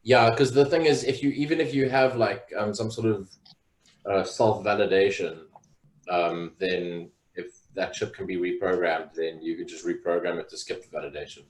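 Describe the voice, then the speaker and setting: A man chatting casually on a live talk show, with lots of "um" and "like" as he tells a story. His voice is fairly low, and the room gives it a noticeable echo. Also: steady tone, moderate pace. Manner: rambling, many fillers, storytelling. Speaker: podcast co-host. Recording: roomy echo.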